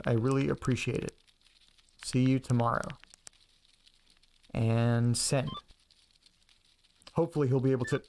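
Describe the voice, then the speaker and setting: A man's voice: mid-range voice, darkish tone, a little hiss or hum, lightly acted, low-quality recording. A man acting as an anxious, chatty young man in an audio drama, rambling and hesitant in a casual, naturalistic way. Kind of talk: naturalistic first-person audio drama, talking to himself.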